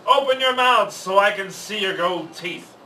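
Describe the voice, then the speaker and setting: A greedy, stubborn storybook pirate captain, played by a man reading aloud, full of exclamations and grumbles. The voice is high-pitched and sharp, a little distant from the mic over faint noise. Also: lively intonation, measured pace, roomy sound, full character voice. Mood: angry, cursing. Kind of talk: children's storybook character voice.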